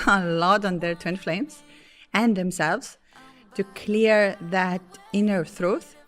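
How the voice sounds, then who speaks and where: Hoarse woman hosting a spiritual podcast. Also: mid-range voice. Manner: apologetic, chatty, with small laughs and restarts. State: hoarse, lost voice. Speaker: podcast host. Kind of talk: casual spiritual podcast talk.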